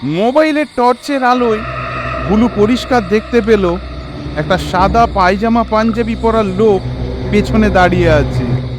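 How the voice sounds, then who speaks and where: A man narrating a scripted horror story in an expressive, suspenseful, dramatic way. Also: high-pitched, normal speed, music in the background.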